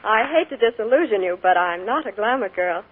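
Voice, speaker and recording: A woman's voice: medium pitch, animated, a little fast, very noisy. A young woman, a guest on a radio show, speaking in a cheerful, modest and earnest way. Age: young adult. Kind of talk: scripted radio interview. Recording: tinny 1940s radio broadcast.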